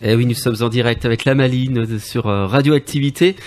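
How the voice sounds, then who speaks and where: Un homme anime une émission de radio en direct et interroge ses invités, avec quelques hésitations. Sa voix se situe dans un registre moyen. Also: male voice, mid-range voice, fairly quick, darkish tone. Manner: questioning, hesitant fillers. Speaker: radio host. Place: radio studio.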